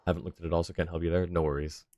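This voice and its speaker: A man's voice: low voice, flat delivery, racing, dark tone. A man hosting a game livestream, chatting casually with his viewers in a friendly, apologetic way. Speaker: livestream host, gamer. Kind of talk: casual game stream chat, reading chat and game dialogue aloud.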